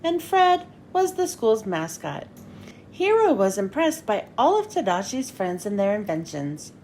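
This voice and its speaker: A woman reading a children's storybook aloud in an expressive story-time manner. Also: mid-range voice, a little slow, a little hiss or hum. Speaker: children's read-aloud host. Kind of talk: animated storybook narration.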